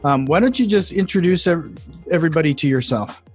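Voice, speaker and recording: A man's voice: a little high, animated, fairly quick, soft background music. A man hosting a podcast, asking easygoing interview questions. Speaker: podcast host. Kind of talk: conversational interview.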